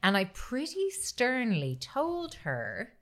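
A woman's voice: on the high side, wildly swooping pitch, slow. A woman podcast host chatting casually and reading a story aloud, swearing freely and acting out the quoted dialogue.

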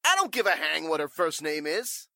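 A man playing an irritable, pompous and evasive warehouse boss in 1940s radio style, gruff and stammering. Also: on the high side, very animated, moderate pace, bright, forceful tone, no echo, clean studio sound, heavily acted. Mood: irritated.

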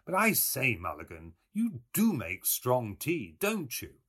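A man voicing a polite, mild gentleman guest with a courteous manner in a storybook reading. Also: medium pitch, wildly swooping pitch, slow, breathy edge, voice pushed up, heavily acted. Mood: mildly amused. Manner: quiet, courteous.

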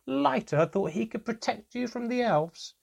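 A man voicing a cruel goblin father in an audiobook, mocking and forceful. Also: a little high, lively intonation, normal speed, creaky, slightly rough, voice pushed up, heavily acted. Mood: mocking, scornful. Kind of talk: audiobook character voice.

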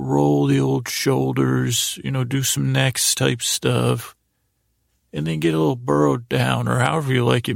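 Very gravelly man rambling softly through monologue. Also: medium pitch, no echo, studio quality. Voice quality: gravelly, creaky. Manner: meandering, hesitant, trailing off and restarting, goofy. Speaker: podcast host. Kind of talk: rambling bedtime sleep podcast monologue.

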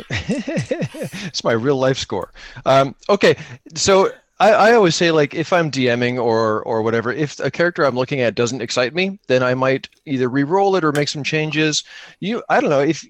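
A man's voice: mid-range voice, lively intonation, moderate pace, dry recording. A man chatting casually as a podcast guest, with a joking, mock-serious manner. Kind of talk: casual chat.

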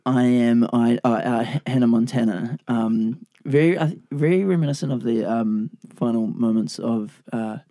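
A man co-hosting a podcast, chatting about a film in a loose, rambling, jokey way, his voice raspy and sleepy from the late hour. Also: mid-range voice, normal speed, few pauses, dark tone, no echo, clean studio sound. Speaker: podcast co-host. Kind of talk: casual film review chat.

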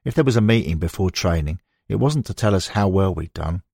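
A man's voice: low voice, animated, brisk, creaky, a little mellow, dry recording, studio quality. A man reading scripted narration as an audiobook narrator, moving between prose and quoted recollections.

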